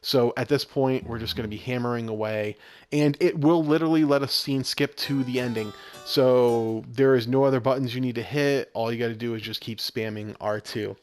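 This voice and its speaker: A man's voice: mid-range voice, fairly even intonation, normal speed. An American man giving a casual, informal, instructional video game walkthrough as the guide. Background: game music with guitar.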